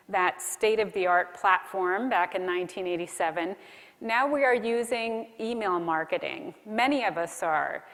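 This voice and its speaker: Woman presenting in a reverberant hall. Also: mid-range voice. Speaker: keynote speaker. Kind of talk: conference presentation on marketing to a live audience. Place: large conference room.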